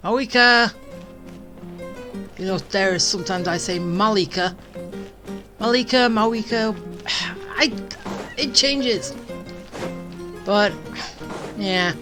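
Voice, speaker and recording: A woman's voice: medium pitch, slow, pauses often. A woman giving casual gameplay commentary, rambling and tossing teasing asides at the game's characters. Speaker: game streamer. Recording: game music behind the voice.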